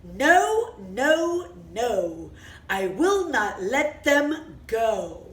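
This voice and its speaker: A woman's voice: high-pitched, expressive, normal speed. A woman voicing a stern, mean, stubborn king in a children's story, with emphatic, repetitive refusals that slip into singing. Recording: roomy echo, faint music.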